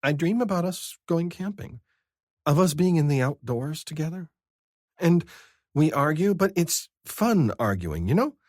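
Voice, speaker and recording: A male narrator voicing a sad, sharp-tongued young woman who answers with tearful but wry retorts. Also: a little high, very animated, a little slow, no room sound, studio quality, pitched above the performer's own voice. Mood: wistful.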